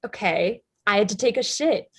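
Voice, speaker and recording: A woman's voice: medium pitch, lively intonation, normal speed, slightly rough. A young woman in a staged play reading, playing a nosy, evasive girl with a flippant, deflecting manner.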